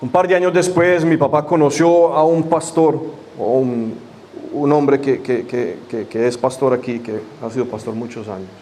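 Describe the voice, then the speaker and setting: A man's voice: medium pitch, moderate pace, a little mellow, a little hiss or hum. A man leading a church service, first praying fervently with repeated invocations, then telling a personal story in a loose, conversational way. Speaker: pastor. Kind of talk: sermon with opening prayer and testimony.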